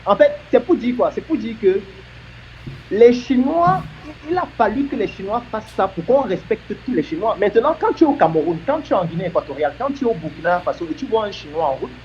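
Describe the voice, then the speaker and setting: A man's voice: high-pitched, expressive, quick pace, darkish tone, a little hiss or hum. Earnest man, muffled recording. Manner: earnest, emphatic, builds points with examples. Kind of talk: argumentative discussion.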